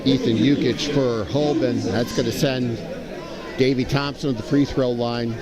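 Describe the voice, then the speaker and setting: A man's voice: mid-range voice, steady tone, moderate pace. A man giving color commentary and analysis on a live basketball game. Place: indoor high school gymnasium. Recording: loud gym crowd behind. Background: crowd, bouncing basketballs.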